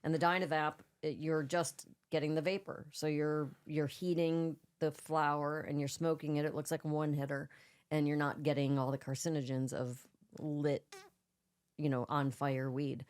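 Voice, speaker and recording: A woman's voice: sits low, a little mellow. A woman co-hosting a casual podcast, chatty and giggly, her nose stuffed up and congested. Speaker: podcast co-host.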